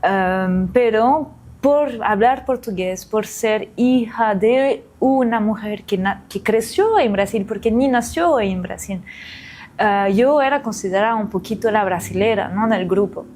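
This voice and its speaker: A woman's voice: medium pitch, unhurried, darkish tone. A woman in her forties from France, an illustrator giving an interview, talking reflectively with many fillers and restarts.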